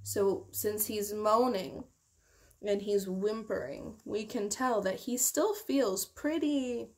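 A young woman reading a picture book aloud to children expressively, drawing out key words and explaining it in simple terms. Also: mid-range voice. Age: young adult. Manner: slow, deliberate, drawn-out key words. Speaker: teacher reading a children's storytime.

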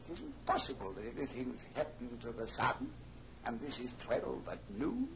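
An actor playing a frightened, bewildered old miser in halting exclamations, in an old radio drama. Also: male voice, medium pitch, huge pitch swings, creaky, noisy background. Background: music.

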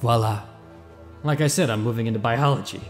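A man's voice: mid-range voice, a little fast, loud music behind the voice, lightly acted. An actor playing an eccentric, boastful inventor in his thirties, glib and theatrical, in an audio drama. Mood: showy, eager. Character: eccentric thirty-five-year-old male inventor, mad scientist, boastful.

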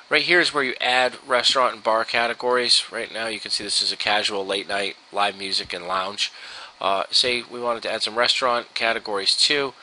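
Bright, forceful American man giving a tutorial. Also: fairly low pitch, rough recording. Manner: casual, instructional, explaining step by step. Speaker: software trainer. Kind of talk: informal screen-share tutorial. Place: indoors, at a computer.

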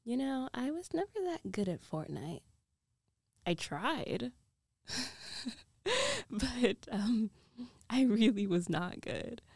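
An older, dominant girlfriend acted by a woman in an intimate ASMR roleplay. She speaks slowly in a soft, dark voice with gravelly fry at the edges, playful and teasing, often sinking toward a whisper. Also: on the high side, sing-song, no room sound, clean studio sound, heavily acted. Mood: casual, amused. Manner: teasing, giggly, slow, often dropping to a whisper.

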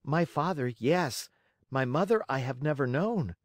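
A man's voice: medium pitch, lively intonation, measured pace, no echo, clean studio sound, voice pushed up, natural voice. A man voicing a small wooden boy marionette, earnest, brave and kind, in a storybook reading. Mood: polite. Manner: pleading, earnest delivery.

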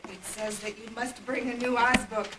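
A woman's voice: fairly high pitch, fairly even intonation, natural voice. A woman voicing a large, hungry, self-pitying tiger with theatrical growls in an amateur puppet play. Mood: plaintive. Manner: plaintive, slow, theatrical growls. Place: indoor puppet stage, far camera. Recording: distant, echoey, noisy old camcorder tape.

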